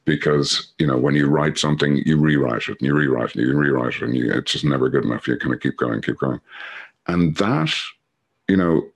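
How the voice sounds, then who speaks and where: Irish man, middle-aged, extremely low voice, rambling, dry humor, video call. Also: fairly quick, vocal fry, husky. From Ireland. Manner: rambling, many fillers, dry humor, swears a bit. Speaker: recovery meeting speaker. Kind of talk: casual personal sharing on a video call.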